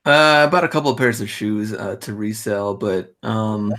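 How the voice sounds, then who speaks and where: A man's voice: fairly low pitch, normal speed. Easygoing man with a worn voice. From Texas. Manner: relaxed, easygoing, laughs along. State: worn voice from illness. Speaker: podcast co-host. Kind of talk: casual chat.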